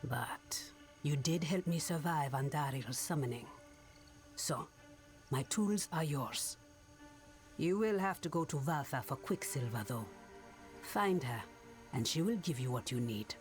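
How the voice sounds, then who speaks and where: Low, slow, stern witch. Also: animated, faint music behind. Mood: wary, cool. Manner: solemn, deliberate. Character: guarded, stern swamp witch. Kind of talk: dramatic video game acting.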